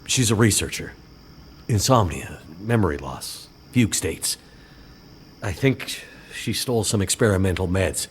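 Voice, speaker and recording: An actor playing an earnest, weary, determined survivor in a video game cutscene, terse and serious. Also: male voice, low voice, lively intonation, unhurried, a little hiss or hum. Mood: worried, determined.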